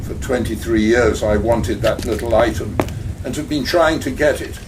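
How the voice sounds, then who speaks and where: A suave, greedy villain who talks in smooth, pompous phrases in a radio play, played by a man. His voice sits at an ordinary middle pitch, with a faint hiss behind it. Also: normal speed, recorded from a distance, lightly acted. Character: suave greedy older male villain Gutman. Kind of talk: community radio play acting.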